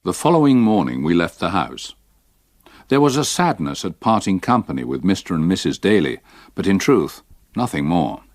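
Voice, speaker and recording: An actor playing an older English solicitor who gravely and regretfully recalls a haunted past in measured, brooding radio-drama narration. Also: male voice, low-pitched, sing-song, a little mellow, no room sound, clean studio sound. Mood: sombre recollection. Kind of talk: dramatised first-person narration of a ghost story.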